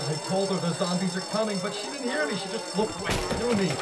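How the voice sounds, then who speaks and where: An actor playing a frightened, excitable male survivor, anxious and exclamatory in a horror radio drama. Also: high voice, quick pace, over loud music.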